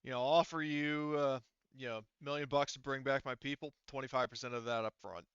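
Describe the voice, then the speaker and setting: A man voicing a plain-spoken, businesslike male station client in a tabletop game, halting and informal. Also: mid-range voice, steady tone, fairly bright tone, smooth, no echo, muffled recording, close to an everyday voice.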